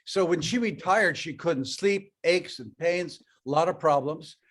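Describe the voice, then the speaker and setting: An older man with a slightly higher voice than most tells a personal story to an audience. He grew up speaking Ukrainian and talks in a loose, conversational way with plenty of fillers. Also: measured pace, fairly bright tone. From Europe, raised in North America. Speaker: network marketing speaker.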